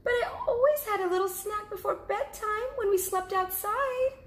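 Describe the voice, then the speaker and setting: A woman voicing a small, restless bear cub who pleads in a high, loud voice. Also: normal speed, roomy sound. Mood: pleading.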